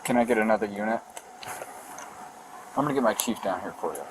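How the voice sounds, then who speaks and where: A man's voice: lowish voice, animated, quick pace. A man working as a police officer, giving firm, procedural instructions during a roadside traffic stop. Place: outdoors at a roadside by a vehicle. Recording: noisy roadside body-camera audio.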